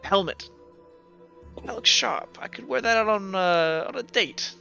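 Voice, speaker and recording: Man playing a sly, high-pitched bachelor gambler. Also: measured pace, gravelly, bright, forceful tone, clean tone, dull audio, soft background music, heavily acted. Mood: teasing, goading. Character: sly, skeptical bachelor gambler haggling over dice.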